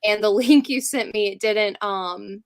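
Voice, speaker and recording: A woman's voice: medium pitch, unhurried, smooth. A woman chatting casually and informally as a rock singer guest in an interview.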